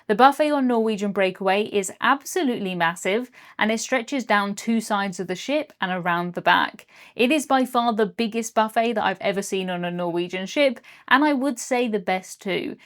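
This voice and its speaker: A woman's voice: mid-range voice, moderate pace, a bit bright. A young British woman narrating her cruise vlog in a chatty, upbeat, lightly jokey way. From Britain. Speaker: cruise vlogger. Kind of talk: scripted travel vlog narration.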